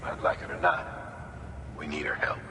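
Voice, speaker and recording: An actor playing a steady, authoritative commander who briefs a courier in a measured, businesslike way in a game. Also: male voice, lightly acted. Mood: reassuring, firm. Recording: long echo with clear background noise.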